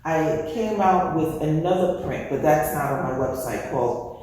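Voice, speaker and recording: A woman from the Bronx, a fashion designer telling her story in a casual interview, animated and full of "you know" fillers. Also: low-pitched, moderate pace. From New York, Bronx. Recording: echoey hall from far off, faint noise.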